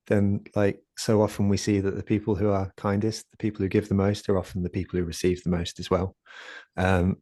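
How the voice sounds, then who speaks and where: A soft-spoken man in his late twenties or thirties, talking as a guest on a video call, hesitant with many fillers and restarts. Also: deep voice, dry recording. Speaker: guest speaker, community founder. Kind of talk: conversational talk in an online session.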